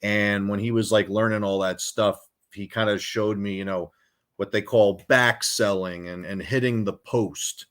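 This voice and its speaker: A man with a very deep voice, a rock singer guesting on a podcast, talking in a relaxed, rambling way with many restarts. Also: measured pace. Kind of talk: casual chat.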